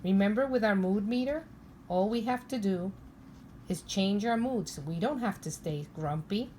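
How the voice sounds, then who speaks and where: A woman teacher reading a picture book aloud to young children, expressive and instructive, often pausing to ask them questions. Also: mid-range voice, slight background noise. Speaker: preschool or primary teacher.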